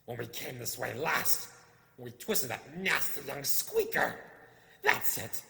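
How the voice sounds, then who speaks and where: A man voicing a wretched, spiteful cave creature for a storybook reading, with a hissing, whispery rasp, muttering and repeating itself. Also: medium pitch, animated, slow, bright, forceful tone, voice pushed up, heavily acted. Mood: enraged, anguished. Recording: echoing hall-like reverb.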